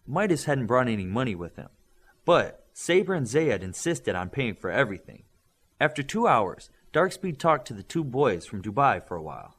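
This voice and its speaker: Expressive man reading an audiobook. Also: medium pitch, moderate pace, breathy edge. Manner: expressive, varied voices. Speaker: audiobook reader. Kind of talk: audiobook narration.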